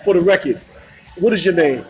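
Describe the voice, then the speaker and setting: Man interviewer, fairly high voice. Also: animated, fairly quick, darkish tone, soft background music, rough recording. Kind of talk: magazine interview with scripted intro.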